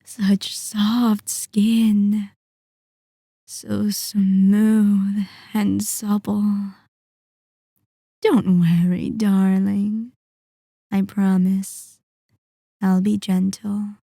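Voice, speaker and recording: A woman acts out a sadistic, possessive female vampire in an ASMR roleplay. Her voice is very dark and mellow, drawn out extremely slowly, close and dry on the microphone. She murmurs with deliberate, condescending sweetness, like a mistress addressing her pet. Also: medium pitch, flat delivery, creaky, slightly rough, dry recording, exaggerated voice. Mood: seductive, commanding. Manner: slow, deliberate, sighing, condescending pet-name endearments. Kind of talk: roleplay ASMR, intimate dramatic monologue.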